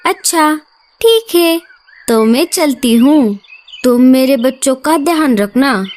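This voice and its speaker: A woman's voice: high-pitched, expressive, slow pace, a little mellow. A woman voicing a loving mother sparrow in a cartoon, speaking earnestly and anxiously.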